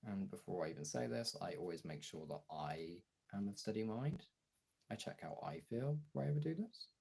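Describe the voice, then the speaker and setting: Low, gravelly young man chatting candidly. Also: animated, dark tone. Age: young adult. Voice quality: gravelly, husky. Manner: halting, frank, swears casually. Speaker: streamer and voice actor chatting to followers. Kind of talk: casual candid chat.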